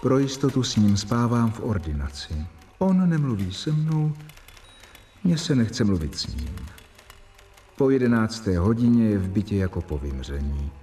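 A stern and volatile doctor tells the story of a radio play. His delivery is dramatic and very animated in pitch, with faint music underneath. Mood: reflective narration. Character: stern, volatile male doctor, the professor's assistant, narrating. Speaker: narrator and character. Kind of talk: radio drama narration and acting.

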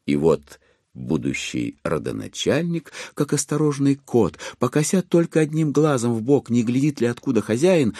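A man narrating a dramatized literary audiobook with theatrical, measured, ironic storytelling. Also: medium pitch, darkish tone, no room sound, clean studio sound.

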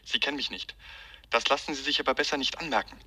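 An actor playing a cold, menacing male kidnapper with a husky voice, giving curt orders over the telephone in a radio crime drama. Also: mid-range voice, quick pace, sharp tone. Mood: cold threat.

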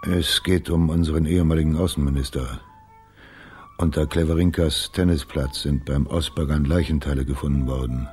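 Very deep, husky, terse boss. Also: male voice, steady tone, moderate pace, a little mellow, faint music behind. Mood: terse, businesslike. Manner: terse, matter-of-fact. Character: terse, businesslike male boss briefing his investigator. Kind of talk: German radio crime drama acting.

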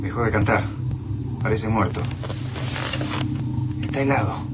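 An Argentine actor playing a blunt, hot-headed, skeptical fighter in a radio drama, with slangy speech. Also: male voice, lowish voice, measured pace, background noise, lightly acted. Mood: wary. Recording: very loud music behind the voice.

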